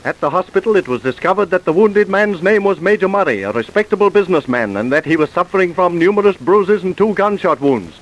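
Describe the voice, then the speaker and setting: A man's voice: sits high, lively intonation, a little fast, few pauses, muffled recording. A man narrating an old-time radio drama from a script.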